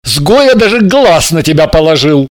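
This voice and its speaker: A man's voice: on the high side, big pitch swings, normal speed, dry recording. A man voicing a cocky, condescending young man with a smug drawl, in an audiobook. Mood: mocking.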